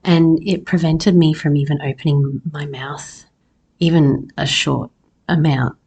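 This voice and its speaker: A woman hosts a solo podcast in a very husky, hoarse voice that often drops into fry. She takes her time, speaking slowly and reflectively, as if sharing personal thoughts. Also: deep voice, fairly even intonation, a little mellow. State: hoarse after losing voice. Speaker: podcast host. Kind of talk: personal solo podcast talk about herbs.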